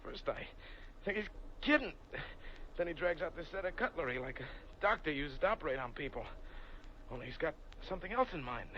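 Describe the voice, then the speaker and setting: A man's voice: a little high, expressive, fairly quick, pushed and bright. An actor playing a frightened male crook who babbles in tough-guy slang, in 1940s radio drama style on a dull old recording with faint hiss. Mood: scared.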